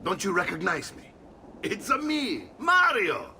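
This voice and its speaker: An actor playing a boisterous middle-aged warrior uncle with a gravelly voice, speaking hearty and teasing in a game cutscene. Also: male voice, high-pitched, wildly swooping pitch, measured pace, pushed and bright, a little hiss or hum, exaggerated voice.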